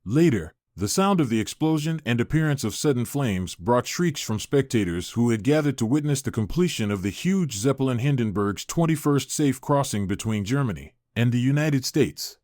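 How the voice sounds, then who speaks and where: A man reads newspaper reports as scripted narration. His voice sits at a middle pitch, and the sound is dry, with no real room around it. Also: expressive, moderate pace, hardly any pauses, a little mellow, no echo.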